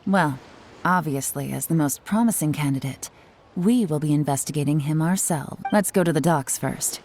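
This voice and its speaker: A woman voicing a cool, shrewd young intelligence chief in a video game, speaking in a measured, confident, instructive way. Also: low voice, a little mellow. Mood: decisive. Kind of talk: video game character voice acting.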